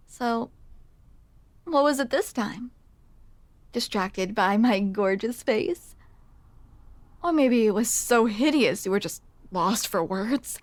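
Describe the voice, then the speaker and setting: Woman doing a tsundere barista girl, asmr roleplay, slow talking, shy teasing, very dry close mic. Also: a little high, dry recording. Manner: hesitant, teasing, self-deprecating. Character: grumpy but smitten tsundere barista woman, shy and wry. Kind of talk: ASMR roleplay, intimate one-sided conversation. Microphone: close microphone, dry.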